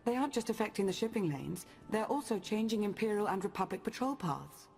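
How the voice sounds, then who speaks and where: A woman playing a cautious, commanding strategist in a video game, speaking with measured, precise authority. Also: mid-range voice, lively intonation, creaky, darkish tone, faint music behind. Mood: focused, brisk. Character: cautious, commanding female strategist, brisk and composed. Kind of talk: video game cutscene acting.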